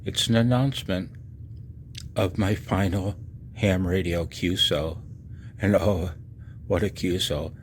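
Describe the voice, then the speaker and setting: A man in his sixties giving a personal voice-over announcement, halting and reflective, with a husky low voice. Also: steady tone, slight background noise. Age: 60s. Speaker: amateur radio hobbyist and video narrator. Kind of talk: personal voice-over announcement, partly read from own text.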